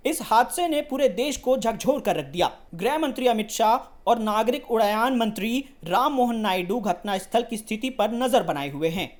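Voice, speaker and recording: A woman's voice: on the high side, a little mellow. A woman news presenter delivering scripted news narration.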